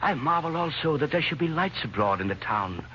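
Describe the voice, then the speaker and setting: A man's voice: a little high, sing-song, a little fast, bright, forceful tone. An actor playing a questioning, impatient wise man of the East in an old-time radio drama, with formal, declamatory archaic English. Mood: questioning, doubtful. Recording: noisy, muffled old radio recording.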